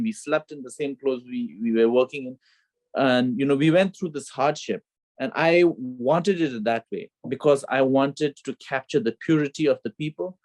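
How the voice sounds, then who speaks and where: A man's voice: mid-range voice, a little mellow, dry recording, rough recording. Earnest man on a video call. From Bhutan. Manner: earnest, repeats phrases, frequent "you know". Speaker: film director. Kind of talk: remote interview, reflective storytelling. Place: home with children nearby.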